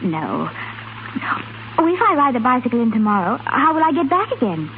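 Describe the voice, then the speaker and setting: An actress playing an earnest, compassionate young gentlewoman in 1930s radio drama style. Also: fairly high pitch, big pitch swings, moderate pace. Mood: light, flustered. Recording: hissy, narrow-band 1930s radio recording.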